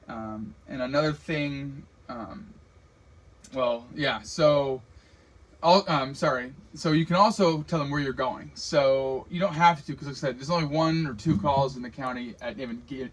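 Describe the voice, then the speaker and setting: A man's voice: a little high, fairly bright tone, rough recording. An American man giving an informal fire radio training lecture, explaining in a casual, plain-spoken way as the trainer. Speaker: firefighter radio communications trainer.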